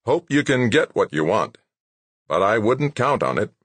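A man's voice: on the low side, very animated, normal speed, a bit bright, husky, no echo, voice pushed up, full character voice. A man voicing an easygoing, matter-of-fact male engineer in an audiobook, casual and mildly sympathetic. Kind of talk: acted audiobook dialogue.

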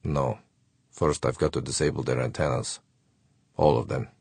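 A man voicing a cold, calculating, menacing killer in a measured way, for a space adventure audiobook. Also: very deep voice, steady tone, a little mellow, breathy edge, no echo, heavily acted. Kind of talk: audiobook character thoughts.

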